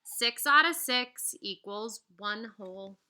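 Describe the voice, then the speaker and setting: A woman with a high, very bright voice reads a children's story aloud. She takes her time, giving the rhymes an animated, singsong lilt. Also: slow, clear, resonant tone. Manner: animated, singsong rhyming delivery with teacherly asides. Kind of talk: expressive children's picture book read-aloud.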